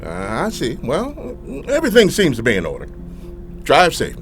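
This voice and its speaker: An actor playing a polite police officer in an audio drama, speaking in a formal, procedural way. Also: male voice, on the high side, wildly swooping pitch, normal speed, soft background music. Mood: official, polite. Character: polite, routine-minded male police officer at checkpoint.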